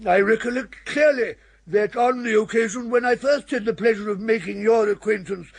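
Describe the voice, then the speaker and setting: An actor playing a fussy, pompous old English lord with an upper-class accent and a ponderous, formal manner in a radio comedy. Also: male voice, high-pitched, moderate pace, husky. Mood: approving. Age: older. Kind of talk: radio comedy drama acting.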